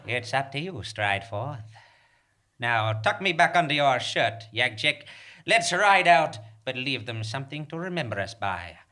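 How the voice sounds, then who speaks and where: A small, monstrous outlaw chief, acted on stage, calm and commanding in a comic radio drama. The delivery is sharp and menacing. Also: mid-range voice, a little slow. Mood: commanding threat. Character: calm, menacing conjoined homunculus bandit boss. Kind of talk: comic stage radio play before an audience.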